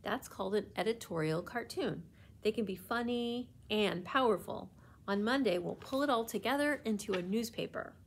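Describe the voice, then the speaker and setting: A woman reading aloud as a patient female schoolteacher in a storybook, explaining things to children in a firm, measured way. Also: mid-range voice, slight background noise, lightly acted.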